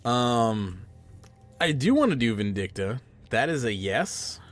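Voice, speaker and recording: A man hosting a podcast, chatting casually while gaming, rambling and self-mocking, with a hoarse, stuffy voice from losing it. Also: mid-range voice, very animated, slow pace, faint music behind. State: losing his voice, stuffy sinuses. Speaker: podcast host.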